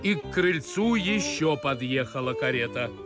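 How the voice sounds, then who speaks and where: A man playing a brisk, commanding head servant ordering footmen about in a classical radio play. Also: mid-range voice, expressive, natural voice. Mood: bossy urgency. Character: bustling head manservant barking orders to footmen. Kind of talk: classical verse radio drama acting. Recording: string music behind.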